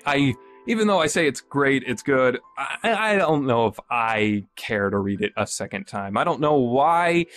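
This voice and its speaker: A man's voice: mid-range voice, a bit bright. A man hosting a podcast, giving a rambling, casual review full of restarts and self-corrections. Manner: rambling, many restarts and fillers, self-deprecating. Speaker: podcast host reviewing a visual novel.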